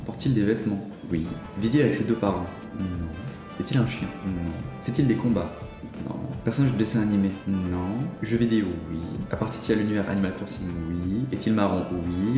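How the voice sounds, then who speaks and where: Low-voiced man reading out game questions. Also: brisk, echoey room, over music. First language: French. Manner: reads the game's questions aloud and answers them himself. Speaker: YouTuber playing an online guessing game. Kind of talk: casual gameplay commentary.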